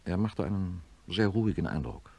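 Very deep, husky male surgeon narrating. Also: animated, warm tone, heavily acted. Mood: sober. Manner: measured, matter-of-fact. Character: sober male neurosurgeon professor recounting his experiment. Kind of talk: first-person radio drama narration.

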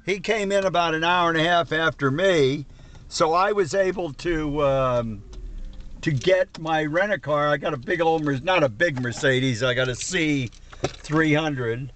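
Older man with a high-pitched voice, rambling. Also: unhurried, fairly bright tone. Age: 70s. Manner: rambling, salty-tongued. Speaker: vlogger on a road trip with old Marine friends. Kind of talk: casual chat on a conference call. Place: inside a car. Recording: noisy camcorder audio inside a car.

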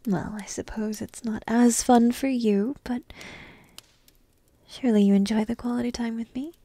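A woman voicing a petite, playful, secretly affectionate dragon princess in a soft, breathy ASMR roleplay, teasing slowly and often slipping into whispers close to the mic. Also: on the high side, steady tone, no room sound. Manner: slow, teasing, intimate delivery with pauses. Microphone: close microphone in a dry room.

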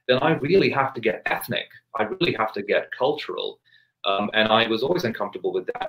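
Measured low-voiced priest on a call. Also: male voice, a bit bright, poor audio. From England. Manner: measured, many restarts and hesitations. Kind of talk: thoughtful interview answer. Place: home study with bookshelves, video call.

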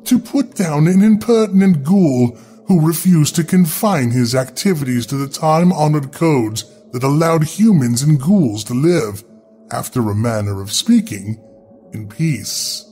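A man reads scripted gothic horror with theatrical care. His pace is slow and his pitch moves widely, with faint music behind him. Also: mellow. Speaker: horror story narrator. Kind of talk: scripted gothic story narration.

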